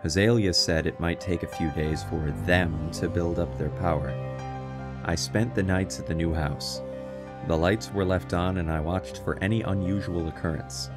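Haunted male narrator actor for horror audio drama: deep, earnest, measured, ominous, over a clear music bed. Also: fairly even intonation, lightly acted. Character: David, earnest haunted young man recounting a horror. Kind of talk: dramatic first-person radio narration.

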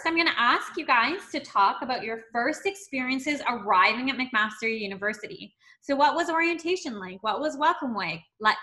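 A woman's voice: high-pitched, bright, forceful tone. A young woman hosting a video-call Q&A panel, upbeat, with frequent fillers. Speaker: webinar host.

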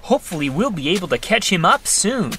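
A man's voice: high-pitched, big pitch swings, brisk, pushed and bright, a little hiss or hum, exaggerated voice. A man playing a brave, polite, earnest young reporter hero in an audio drama.

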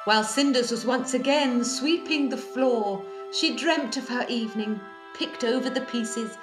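A woman's voice: a little high, unhurried, natural voice, rough recording. A woman playing a storybook narrator, telling a comic fairy tale in scripted rhyme. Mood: storytelling. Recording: music behind the voice.

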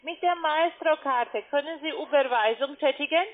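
A woman's voice: sits high, normal speed. A woman call centre agent with a foreign accent, a non-native speaker who is blunt and insistent. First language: not German. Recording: tinny phone line.

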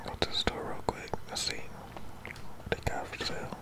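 A man speaks entirely in a breathy whisper, very close to the mic. He gives informal, casual commentary on a video game as ASMR. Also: moderate pace. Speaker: gaming ASMR YouTuber.

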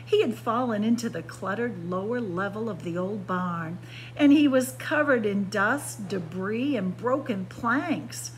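Female picture book narrator: unhurried, dramatic phrasing, recorded indoors with background noise. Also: animated, poor audio. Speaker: library story time reader. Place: indoors by bookshelves. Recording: clear background noise with low hum.

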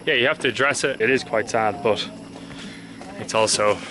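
A young man in his twenties, talking earnestly and haltingly while vlogging outdoors at arm's length. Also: fairly low pitch, noisy background. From Ireland. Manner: earnest, thoughtful, halting with fillers. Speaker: travel vlogger. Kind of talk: casual travel vlog chat. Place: outdoors on a hot day. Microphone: handheld camera at arm's length. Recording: background music under voice.

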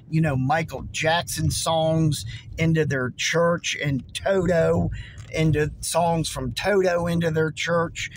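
A hoarse man in his forties talks into a phone held close inside his car, earnest and emphatic, circling back over his points. His voice sits a little high for a man, and faint background noise hangs under it. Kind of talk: casual preaching to camera.

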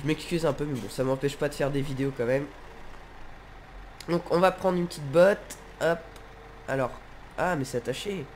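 A man's voice: a little high, normal speed, long pauses, a little mellow, a little hiss or hum. A man with a nasal, stuffed-up voice from a head cold, giving casual, hesitant let's play commentary as he thinks aloud. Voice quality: congested, nasal. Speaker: gaming video host.

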